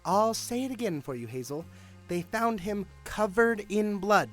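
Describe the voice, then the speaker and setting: Brash, high-pitched male detective. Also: expressive, unhurried, soft background music. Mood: sarcastic, insistent. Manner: sarcastic, emphatic. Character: cynical, brash, impatient male police detective. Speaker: voice actor. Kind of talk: audio drama acting.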